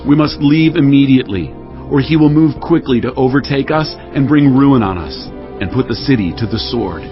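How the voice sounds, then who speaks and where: A man's voice: medium pitch, moderate pace, natural voice. A man playing a grave, humble king of ancient Israel in an audio Bible drama, earnest and authoritative. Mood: urgent. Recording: dull lo-fi audio with background music.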